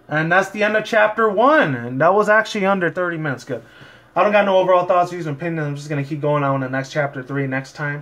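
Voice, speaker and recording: A man's voice: mid-range voice, a bit bright. A man hosting a fan fiction reading, talking casually in a rambling, repetitive way. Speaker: channel host and fan fiction reader. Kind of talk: casual vlog talk and story narration.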